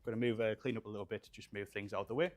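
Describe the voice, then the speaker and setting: A man's voice: lowish voice, steady tone, rapid-fire, a little mellow, soft background music. A young man from England, raised there with Polish roots, chatting casually and rambling with fillers as he builds a PC and reads out live chat. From Poland, raised in England. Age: young adult. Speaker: livestream host building gaming PCs. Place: small studio room with shelves.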